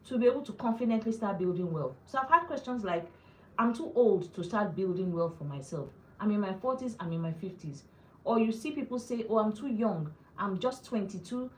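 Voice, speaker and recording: A woman's voice: mid-range voice, reverberant, slight background noise, rough recording. A woman hosting a live finance talk, speaking earnestly to her viewers and repeating phrases for emphasis. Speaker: personal finance host.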